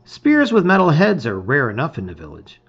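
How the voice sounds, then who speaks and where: A man's voice: a little high, huge pitch swings, normal speed, slightly rough, dull audio. A man reading a gamebook aloud as a dramatic story, with theatrical, emphatic phrasing.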